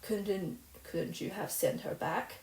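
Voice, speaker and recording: A blunt middle-aged neighbor woman speaks her mind with gloomy disapproval, as a woman reads her lines. Her voice is of middle pitch. It echoes in a roomy space. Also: fairly even intonation, slow, a little mellow, lightly acted. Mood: gloomy, prying. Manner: outspoken, disapproving.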